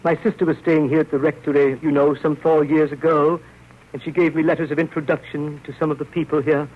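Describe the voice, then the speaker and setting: An actor playing a fussy, nervous, proper gentleman in 1930s radio drama style, with a prim, halting delivery and habitual throat-clearing. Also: fairly high pitch, flat delivery, natural voice. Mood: polite, uneasy. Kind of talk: 1930s radio drama acting, first-person narration. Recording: muffled, noisy old radio broadcast.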